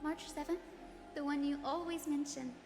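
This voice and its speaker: A woman voicing a gentle, selfless young female healer in a video game, soft-spoken, composed and solemn. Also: sits high, fairly even intonation, normal speed. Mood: wistful, tender. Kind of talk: dramatic video game voice acting. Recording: echoey long reverb under music.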